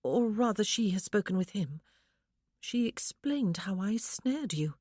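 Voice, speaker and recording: A woman voicing a gentle, earnest young woman who speaks in a light, hesitant way. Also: mid-range voice, animated, unhurried, dry recording, clean studio sound, voice pushed up. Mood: troubled.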